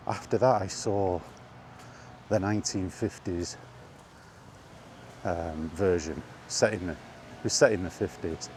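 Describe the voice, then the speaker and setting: A man chatting casually on his own, enthusiastic but halting, with frequent ums and restarts. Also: deep voice, a little mellow, slight background noise. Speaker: vlogger. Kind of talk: casual rambling monologue. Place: outdoors, walking.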